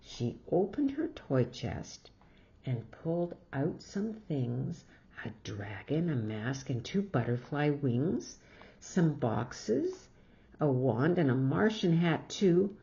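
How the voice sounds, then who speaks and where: Slow, mellow woman reading a picture book. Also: deep voice, vocal fry, highs cut off. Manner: expressive, pausing between short phrases. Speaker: storybook reader. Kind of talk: picture-book read-aloud with asides to young listeners.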